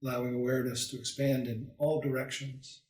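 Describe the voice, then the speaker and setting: A man leading a guided meditation as a teacher, calm and soothing, leaving long pauses between short phrases. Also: medium pitch. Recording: roomy echo, distant microphone.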